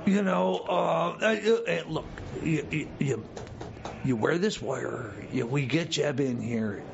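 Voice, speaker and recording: A man acts a pushy, bumbling corrections officer in a radio comedy, tripping over repeated words as he badgers. The voice is heavy with fry, on a muffled, noisy recording. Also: fairly high pitch, slow pace. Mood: badgering. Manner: stammering, repetitive, badgering. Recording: dull lo-fi radio sound, noisy background.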